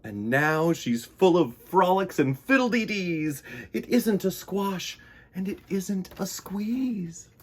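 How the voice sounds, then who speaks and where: A man hosting a children's storytime, reading and chatting in a theatrical, expressive way. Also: sits high, slow pace. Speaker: children's storytime host and reader.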